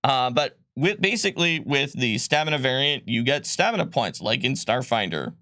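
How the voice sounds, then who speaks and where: A man walks through tabletop rule options on a live stream in a bright, forward voice. He talks loosely and chattily, with plenty of fillers and the odd laugh, close to a dry microphone. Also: medium pitch, big pitch swings, normal speed, no room sound. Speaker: game designer presenting a rulebook on a live stream. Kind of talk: casual explanatory stream chat.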